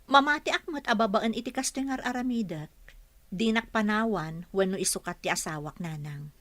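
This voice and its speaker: A woman's voice: medium pitch, lively intonation, moderate pace, fairly bright tone, no echo, natural voice. A woman playing a patient, devoted and hopeful Filipino wife in a radio serial, earnest and pleading. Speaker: radio drama actor.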